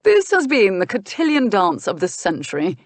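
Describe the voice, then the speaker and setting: A woman's voice: mid-range voice, wildly swooping pitch, moderate pace, no echo. A woman narrator plays an easygoing earl in a historical romance. He talks in a wry, gossipy way, captured dry and close. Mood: amused. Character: wry, easygoing earl, reluctant village magistrate. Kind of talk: historical romance audiobook character.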